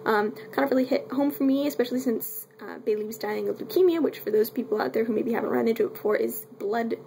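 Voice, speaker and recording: A woman's voice: fairly high pitch, fast talker, gravelly, dark tone, a little hiss or hum. A woman giving a casual, unscripted book review in a candid, irreverent way with wry asides and mild swearing.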